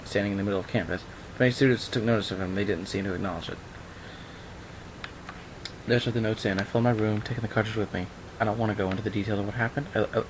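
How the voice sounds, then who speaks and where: Low-voiced young man, noisy home recording. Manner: informal, breaks off into offhand remarks. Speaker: online video maker reading a horror story aloud. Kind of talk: amateur dramatic reading with casual asides.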